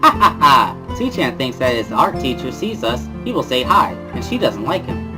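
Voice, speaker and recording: Man putting on a high, bubbly teenage schoolgirl. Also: sing-song, normal speed, over music, lightly acted, poor audio. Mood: chirpy. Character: bubbly, teasing, cheerful teenage schoolgirl with loud laugh. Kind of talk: dramatic reading of a visual novel.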